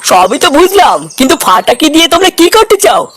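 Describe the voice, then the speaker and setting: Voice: high-pitched, big pitch swings, quick pace, fairly bright tone, dry recording. A performer voicing a pompous, preachy guru who defiantly protects a disciple in a comedy cartoon.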